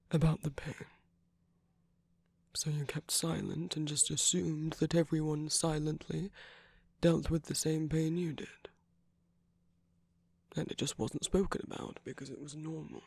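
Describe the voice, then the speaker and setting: A man playing a gentle, wry villain who uses magic, speaking softly and haltingly in an intimate ASMR roleplay with a breathy, husky voice. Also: a little high, level tone, no echo, exaggerated voice. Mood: gentle, reassuring. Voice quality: breathy, husky, slightly creaky. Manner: measured, halting, hushed delivery with pauses. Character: gentle, wry villain magic user comforting a loved one.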